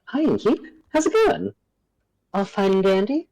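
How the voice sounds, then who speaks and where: A woman's voice: medium pitch, big pitch swings, normal speed, vocal fry, warm tone, no room sound, voice pushed up. A woman voicing a cheerful but bored elf in a visual novel, chirpy and theatrical with a self-mocking streak. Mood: chirpy, eager. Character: cheerful, bored elf woman living a quiet grove life.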